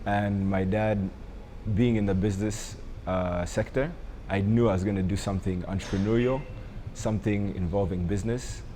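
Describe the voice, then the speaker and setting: Young man, low mellow voice, halting with fillers, faint noise behind. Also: steady tone. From Rwanda. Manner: hesitant, many ums and uhs. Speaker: coffee entrepreneur. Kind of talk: interview answers.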